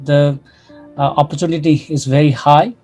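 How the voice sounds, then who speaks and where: A man from the audience answering a question in halting non-native English with many uhs. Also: on the high side, steady tone, darkish tone, faint music behind.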